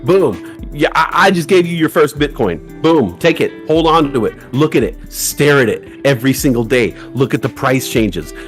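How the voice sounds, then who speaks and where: A man in his forties co-hosting a casual livestream chat, lively and acting out voices of people he quotes. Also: normal speed, soft background music. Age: 40s. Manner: animated, acts out quoted voices with big pitch jumps. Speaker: livestream co-host.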